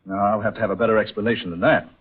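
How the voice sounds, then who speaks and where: Husky, commanding yacht owner, vintage radio. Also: male voice, lowish voice, steady tone, very fast. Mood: incredulous. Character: commanding, skeptical yacht owner, gruff man of action. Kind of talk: 1940s radio acting. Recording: dull, muffled old radio recording.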